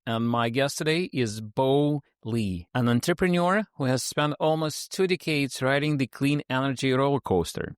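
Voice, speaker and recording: A man's voice: mid-range voice, smooth, no room sound, clean studio sound. A man hosting an interview podcast, reading a polished intro and then chatting with his guest, with many fillers and restarts. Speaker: podcast host.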